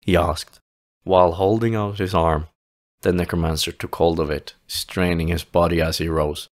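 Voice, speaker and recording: A man narrating a fantasy audio play in a theatrical, solemn storytelling manner with deliberate, dramatic phrasing. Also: deep voice, fairly even intonation.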